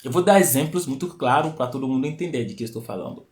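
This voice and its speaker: A man's voice: medium pitch, animated, fast talker, a little mellow. Man teaching on a live stream. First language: not Portuguese. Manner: explanatory, repeats key phrases, rising emphatic peaks. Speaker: host of a live stream talk. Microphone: live stream mic.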